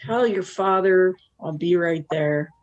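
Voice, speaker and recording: Woman acting a slow-talking, weary, worldly wife. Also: on the low side, muffled recording. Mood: curt, tired. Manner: deliberate, wistful, blunt. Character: weary, worldly wife and mother escaping to fish. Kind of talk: dramatic monologue in a stage play.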